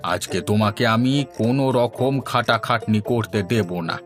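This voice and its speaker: A man's voice: fairly low pitch, a little slow, close to an everyday voice. A man playing a genial, hospitable older village father-in-law in a cartoon folk tale, speaking in an indulgent, coaxing way. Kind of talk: Bengali animated folk-tale dialogue. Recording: music under the voice. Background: music.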